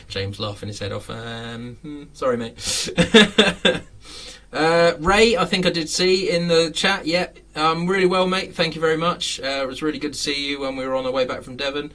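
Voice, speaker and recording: A man's voice: fairly high pitch, normal speed, bright, forceful tone, distant mic. A man in his late thirties, hosting a casual live chat from his home model railway room, rambling with lots of fillers and frequent chuckles. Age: 30s.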